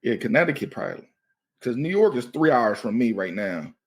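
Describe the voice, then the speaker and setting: A man's voice: medium pitch, sing-song, a little fast, breathy edge. A man in his thirties from Maryland, a comedian guest talking casually and loosely, often saying "you know what I mean.". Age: 30s. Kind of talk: casual podcast chat.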